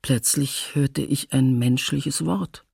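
A woman playing an elderly survivor recalling her childhood ordeal, husky and hesitant, in a documentary radio drama. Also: a bass voice, slow pace, a little mellow, dry recording, clean studio sound, heavily acted. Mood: quiet, reflective. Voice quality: husky, gravelly.

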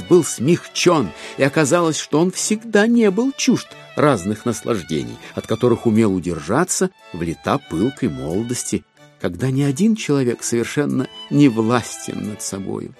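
A man giving an expressive, wryly ironic theatrical narration of a classic novel. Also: medium pitch. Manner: expressive, storytelling with wry irony.

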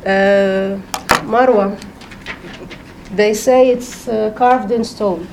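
A woman's voice: medium pitch, steady tone, drawn-out words, background noise. A woman moderating a conference panel, speaking hesitantly with many fillers as she introduces a speaker.

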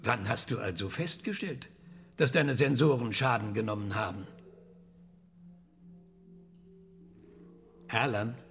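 An actor playing a patient professor in his sixties who questions carefully and deliberately in a radio drama. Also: medium pitch, creaky, fairly bright tone. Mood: probing, careful. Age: 60s. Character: 68-year-old male professor, patient, careful, probing questioner.

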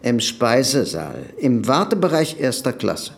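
Desperate, indignant man, wildly varying pitch. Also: big pitch swings, measured pace, creaky. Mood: guarded, formal. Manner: insistent, proud, protesting. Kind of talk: German radio drama acting.

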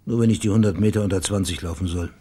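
Husky, low-voiced private detective. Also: male voice, steady tone, very fast, mellow. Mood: dry, probing. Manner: laconic, dry. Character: dry, wry, unhurried male private detective. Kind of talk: naturalistic radio play acting.

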